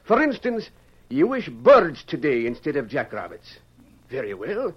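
An actor playing a kindly old Scottish falconer with a thick accent, in 1940s radio acting style. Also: male voice, mid-range voice, wildly swooping pitch, unhurried, breathy edge, heavily acted. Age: older. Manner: hearty, burring, fatherly. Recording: dull old radio recording with faint hiss.

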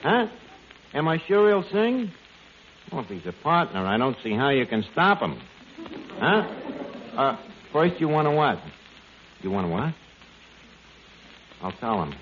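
An actor playing a brash, wheedling bar manager with hesitant, deadpan wisecracks in a 1940s radio comedy. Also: male voice, mid-range voice, a little slow, long pauses. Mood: wheedling, hedging. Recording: muffled, noisy old 1940s radio broadcast.

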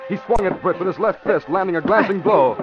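A man's voice: sits high, a little fast. A man narrating a crime serial as announcer, in a scripted, dramatic old-time radio style. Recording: muffled vintage radio recording with music.

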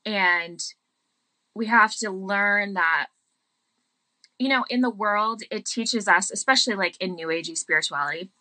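A woman's voice: medium pitch, a little slow, bright, forceful tone, no room sound, rough recording. A woman in a casual, reflective conversation about faith, explaining things thoughtfully and with emphasis.

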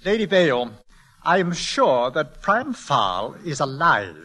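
An actor in an old-time radio drama playing a suave, persistent London art dealer, formal and smooth-talking. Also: male voice, fairly high pitch, very animated, slow, sharp tone, heavily acted. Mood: measured.

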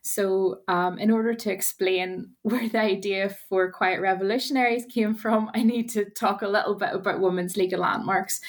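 A woman with a Northern Irish accent, a law academic talking thoughtfully as a podcast guest, with many small pauses and restarts. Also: medium pitch. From Northern Ireland. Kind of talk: podcast interview.

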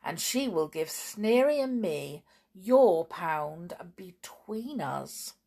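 A woman's voice: on the low side, very animated, slow, vocal fry, mellow, exaggerated voice. A woman voicing a bossy male goblin in a storybook, retorting in an emphatic, severe way. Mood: defiant.

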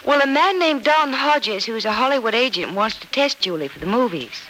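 High, bright, earnest young woman. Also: lively intonation, moderate pace. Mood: earnest, explaining. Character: courageous, level-headed young woman, caring and cautious. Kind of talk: 1940s radio soap opera acting. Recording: old noisy radio recording.

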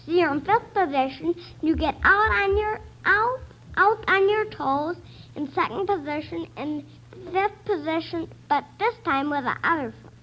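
A female quiz panelist giving unscripted answers on an old radio show, explaining in a halting, repetitive way. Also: very high-pitched, lively intonation. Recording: dull lo-fi vintage radio recording.

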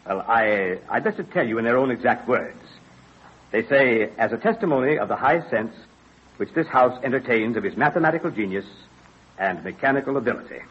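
A dignified colonial scholar in an old radio play. He speaks with formal, urgent emphasis and a highly animated pitch. His voice is very husky, on a dull, aged recording. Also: fairly quick. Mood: proclaiming. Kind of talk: old-time radio drama acting.

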